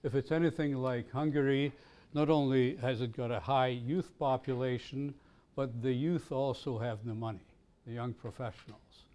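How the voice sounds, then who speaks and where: An older man lectures at a business school in a university lecture room, explaining ideas in a measured way. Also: mid-range voice, steady tone, darkish tone.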